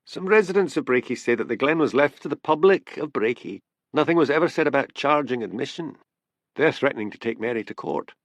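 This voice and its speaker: A man's voice: mid-range voice, animated, fairly quick, no echo, voice pushed up, close to an everyday voice. A man voicing a cheerful, homely male housemate who does the cooking, chirpy in manner, in an audiobook story. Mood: explaining.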